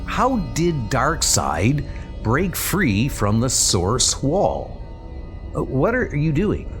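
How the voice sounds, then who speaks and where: A man's voice: medium pitch, huge pitch swings, extremely slow, faint music behind, exaggerated voice. A man voicing a young superhero in a comic book dub, flustered, impatient and indignant, with an agitated colloquial delivery.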